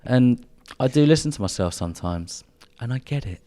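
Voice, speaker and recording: A man with an English accent co-hosting a podcast indoors by a fire, talking in a relaxed, soft-spoken, rambling way. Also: medium pitch, normal speed. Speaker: podcast co-host. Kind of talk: casual chat.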